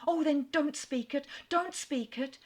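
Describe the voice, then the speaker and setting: Casting: eager, anxious young lady, voiced by a woman, bright raised tone. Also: high voice, unhurried, pitched above the performer's own voice. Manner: eager, breathless pleading.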